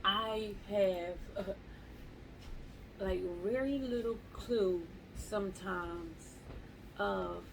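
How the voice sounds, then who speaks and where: A woman talking slowly and haltingly in a reflective live journal at home, often repeating words as she searches for them. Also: medium pitch, creaky, a little hiss or hum, poor audio. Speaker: vlogger.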